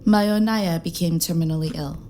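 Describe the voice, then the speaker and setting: A woman's voice: on the low side, fairly quick, warm tone, slight background noise, lightly acted. A woman voicing an alien pub tender on a starship who explains herself earnestly.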